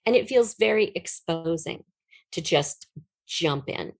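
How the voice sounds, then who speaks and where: Female writer as interview guest, slightly low voice, dry recording, conversational storytelling delivery. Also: animated, measured pace, no echo.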